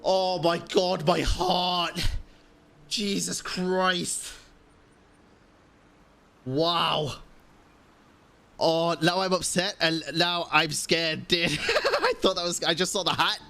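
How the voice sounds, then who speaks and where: A man casually commentating on a game as he streams, with expressive, slangy, exclamatory reactions. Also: sits high, takes it slowly, gravelly, pauses often.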